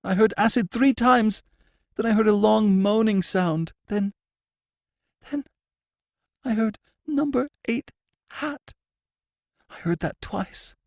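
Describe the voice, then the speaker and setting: A shrieking, terror-struck prisoner. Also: male voice, takes it slowly, gravelly, pauses often, no echo, studio quality, heavily acted. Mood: terrified. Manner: gasping, shrill, panicked wailing. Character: terrified male prisoner, a guilt-haunted murderer.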